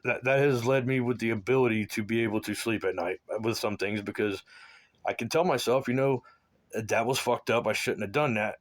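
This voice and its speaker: Rambling man from South Florida, low voice. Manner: halting, full of fillers and restarts, drops to low mumbles. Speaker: man recounting his life. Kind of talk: rambling personal monologue.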